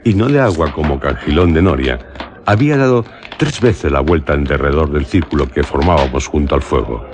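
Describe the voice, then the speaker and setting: An educated, skeptical traveller narrating a gothic radio play. His voice is extremely deep, with an accent from Spain and solemn, literary phrasing, and a faint layer of noise sits under the recording. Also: animated, fairly quick. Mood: solemn narration. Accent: Spanish from Spain.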